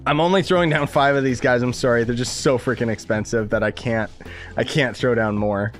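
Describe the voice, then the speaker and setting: Male streamer, medium pitch, informal off-the-cuff gaming commentary, voice tired from coughing, music underneath. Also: steady tone, a little fast. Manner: informal, rambling, gamer slang. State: losing voice, coughing. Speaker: game live streamer.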